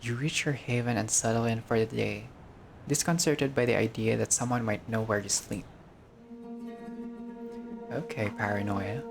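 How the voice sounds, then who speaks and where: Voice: mid-range voice, steady tone, normal speed, creaky, long pauses, faint music behind. A gamer reading a visual novel aloud in a casual let's-play style, breaking off into joking, sweary asides.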